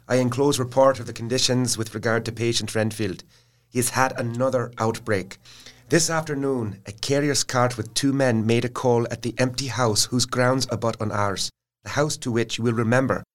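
An actor playing a dutiful asylum doctor, reading out a formal report in an orderly, matter-of-fact way for an audio drama. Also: male voice, mid-range voice, moderate pace, lightly acted. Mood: formal, businesslike.

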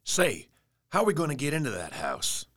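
An actor playing a brash, plain-spoken young adventurer in an audio drama. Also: male voice, on the high side, wildly swooping pitch, normal speed, fairly bright tone, dry recording, clean studio sound, heavily acted. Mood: wary, frank.

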